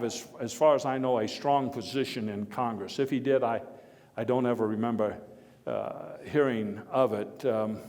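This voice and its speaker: A man playing a hearty, opinionated former American president, telling his stories to an audience in a halting, wry and emphatic way. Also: medium pitch, a little mellow, natural voice. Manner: halting, storytelling, wry chuckles, emphatic bursts. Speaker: living-history performer giving a talk and taking audience questions. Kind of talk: first-person historical dramatization before an audience. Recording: echoey hall with long reverb.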